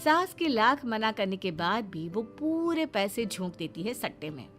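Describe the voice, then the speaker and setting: A woman's voice: medium pitch, big pitch swings, measured pace, a bit bright, faint music behind. A woman reading scripted narration as a storyteller.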